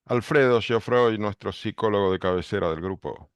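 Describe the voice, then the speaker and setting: Deep-voiced man hosting a call. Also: clear, resonant tone, no room sound. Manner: brisk, brief greetings. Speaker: book club director and host. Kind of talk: calling roll on a video call. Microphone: video call.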